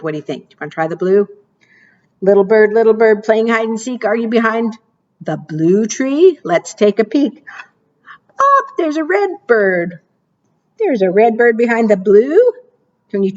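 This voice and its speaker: A woman librarian reading picture books and leading rhymes for preschoolers in a slow, encouraging sing-song way. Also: mid-range voice, a little mellow, highs cut off. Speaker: children's librarian.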